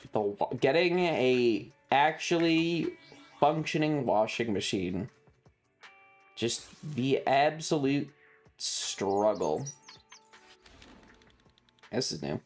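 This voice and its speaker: Slow, halting streamer with swooping pitch. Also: a little mellow. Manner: rambling, halting, wry sarcasm. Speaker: livestreamer. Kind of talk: casual livestream chat while gaming. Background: music.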